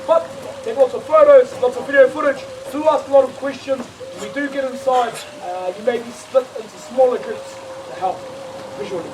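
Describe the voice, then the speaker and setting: A New man declaims a formal welcome to visitors at a cultural village. His voice sits very high, and it reaches the mic from afar. Steady noise runs underneath. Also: fairly quick. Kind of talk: ceremonial welcome address to tourists. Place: live cultural show venue. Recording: distant voice with loud background noise.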